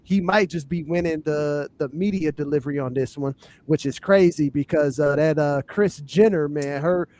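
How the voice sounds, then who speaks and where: A man with a fairly high-pitched voice chats as a podcast co-host. His delivery is casual and slangy, with an earnest, conversational way of laying out his opinions. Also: normal speed, darkish tone. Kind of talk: casual chat, giving opinions.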